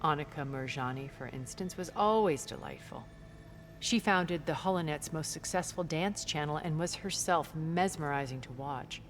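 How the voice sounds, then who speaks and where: An actress playing a refined, wealthy immortal woman in a video game, speaking in measured, eloquent, theatrical phrases with quiet scorn. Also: low-pitched, soft background music, natural voice. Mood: fond, wistful. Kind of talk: video game character dialogue.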